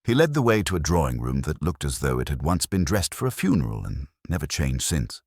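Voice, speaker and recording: A man reads a mystery story with measured wryness. His voice is extremely low and somewhat raspy, yet his intonation moves in an animated way. The sound is dry and close. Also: dry recording. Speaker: narrator.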